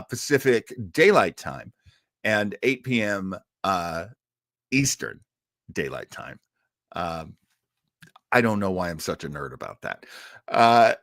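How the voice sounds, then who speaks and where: A man's voice: on the low side, fairly bright tone, no echo, studio quality. An American man hosting a live show in a casual, chatty way, joking and rambling off into asides as he talks to his viewers. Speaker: live stream host of an old-time radio show.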